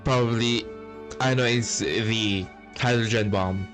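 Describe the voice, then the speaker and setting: Young man, casual gameplay commentary, slow pace, lively intonation, slangy, faint music behind. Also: fairly low pitch. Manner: slangy, profane. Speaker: game streamer.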